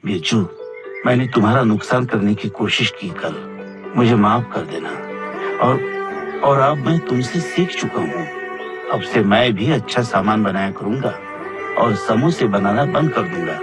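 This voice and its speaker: A man's voice: on the low side, lively intonation, a little slow. A man playing an earnest, reflective rival shopkeeper in a cartoon moral story. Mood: remorseful. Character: rival male shopkeeper, grumbling then remorseful. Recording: clear background music under the voice.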